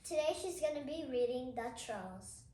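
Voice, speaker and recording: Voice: sits high, expressive, moderate pace, dark tone, slight background noise. A child introducing a reading video in a casual, chatty style. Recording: echoey room.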